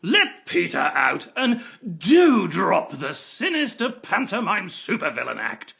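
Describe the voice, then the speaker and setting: An actor playing an exasperated, pompous television detective with a dry, fussy, superior manner, in an audio drama. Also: male voice, fairly high pitch, sing-song, unhurried, creaky, bright, forceful tone, full character voice. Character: exasperated, pompous male TV detective, weary of his nemesis. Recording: slightly muffled recording.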